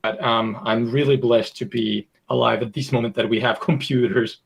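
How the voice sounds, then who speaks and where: A young man with a Finnish accent, a game designer answering interview questions modestly, a bit nervous and halting. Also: medium pitch, steady tone, vocal fry, slightly rough. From Finland.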